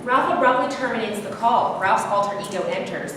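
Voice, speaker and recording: A woman's voice: mid-range voice, fairly quick. A woman reading out stage directions as scripted narration at a staged reading. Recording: echoey hall, distant mic, clear noise.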